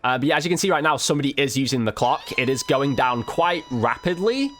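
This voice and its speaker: A man's voice: mid-range voice, animated, fast, fairly bright tone. A man giving casual, slangy gameplay commentary as a video creator, thinking aloud as he goes.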